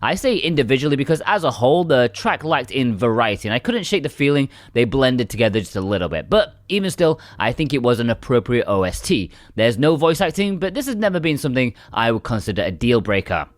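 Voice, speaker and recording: A man reading a scripted video game review in a measured, explanatory way with lightly wry asides. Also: medium pitch, very animated, dry recording, studio quality.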